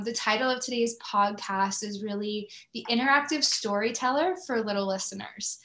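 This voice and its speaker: A woman's voice: on the high side, pushed and bright. A woman hosting a podcast interview in a conversational style. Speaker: podcast host interviewing a guest. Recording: roomy, echoing sound.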